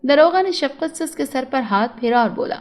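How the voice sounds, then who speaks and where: A woman with a high voice works as a storyteller. She delivers scripted narration of a moral tale. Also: animated, moderate pace, darkish tone.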